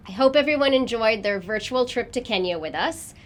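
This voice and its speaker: A woman hosting a virtual event, speaking in a warm, chatty way with a few restarts. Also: a little high, lively intonation, brisk. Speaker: event host.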